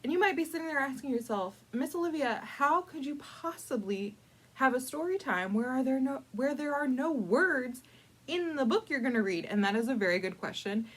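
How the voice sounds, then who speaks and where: A woman hosting a casual storytime, chatty and informal, with playful, dramatic emphasis and drawn-out words as she tells a picture-book story. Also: sits high, expressive. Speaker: librarian storytime host.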